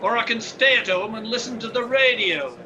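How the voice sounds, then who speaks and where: An angry complaining cinema punter, really high voice, old radio play style. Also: male voice, expressive, brisk, sharp tone, highs cut off, slight background noise, exaggerated voice. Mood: indignant. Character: indignant, complaining London cinema patron.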